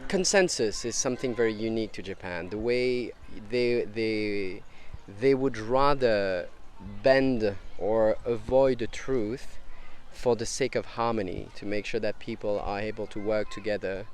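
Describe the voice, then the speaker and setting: A man's voice: on the low side, measured pace, few pauses, faint music behind. A man from Europe answering interview questions, hesitant, with many restarts and fillers.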